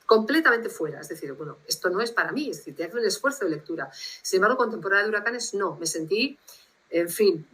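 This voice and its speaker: A woman's voice: medium pitch, expressive, fast, fairly bright tone, breathy edge, rough recording. A woman from Bilbao with a Basque Spanish accent, speaking as a guest translator on a video call in a reflective, rambling way. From Spain, Bilbao.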